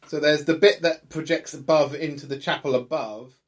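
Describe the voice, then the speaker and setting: A man narrating a tabletop horror game as game master, improvising in a conversational, halting way and thinking aloud. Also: a little high, steady tone.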